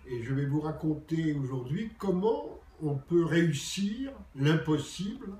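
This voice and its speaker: A man's voice: a little high, animated, measured pace, dark tone. An older man, a journalist, telling anecdotes from his career in a theatrical storytelling manner. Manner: theatrical storyteller, acts out dialogue. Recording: roomy, distant mic, faint background noise.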